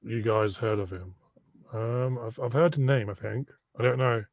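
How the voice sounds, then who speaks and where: A man's voice: medium pitch, normal speed, creaky, darkish tone, no echo. A man hosting a live stream, easygoing and hesitant, with plenty of ums as he chats and signs off. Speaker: YouTube streamer host. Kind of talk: casual live stream chat. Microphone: laptop.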